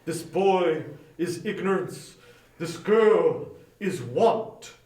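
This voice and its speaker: A man's voice: sits high, animated, darkish tone, full character voice. A man playing an aging, solemn, accusing ghost in a dramatic storybook reading, with ominous declamatory delivery. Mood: grave warning. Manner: declamatory, slow, ominous proclamations. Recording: echoey room.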